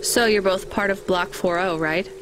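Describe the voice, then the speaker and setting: A woman's voice: medium pitch, moderate pace, background noise, lightly acted. A woman voicing a wry, level-headed young delivery driver in a video game, with a dry, questioning manner. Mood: curious, wry. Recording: music behind the voice.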